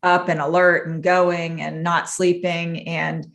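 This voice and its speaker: A woman from Massachusetts, a clinician, speaking as an interview guest in a reflective, earnest and measured way. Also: lowish voice, level tone, vocal fry, fairly bright tone. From Massachusetts, USA. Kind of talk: personal storytelling in an interview.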